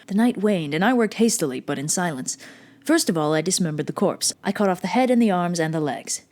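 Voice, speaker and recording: A woman's voice: fairly low pitch, lively intonation, brisk, darkish tone, natural voice. A woman voicing a nervous, manic murderer in a theatrical, precisely worded dramatic reading of a Gothic horror story. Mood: smug, sly. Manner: theatrical, intense, precise old-fashioned phrasing. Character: nervous, manic murderer insisting on their sanity.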